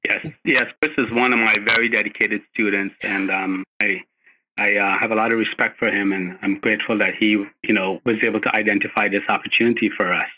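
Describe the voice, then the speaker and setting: Low-voiced man on a dull remote line. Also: steady tone, bright, forceful tone. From Guyana. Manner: polite and gracious, with some "um" and "uh" pauses. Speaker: martial arts instructor, guest. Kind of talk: interview answers.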